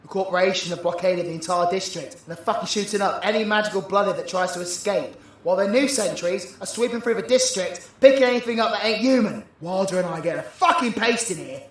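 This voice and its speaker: A man's voice: high-pitched, a little fast, few pauses, breathy edge. A British man playing a cocky, foul-mouthed supervillain with a slangy, irreverent swagger in an audio drama. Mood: urgent. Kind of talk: villain audio roleplay acting.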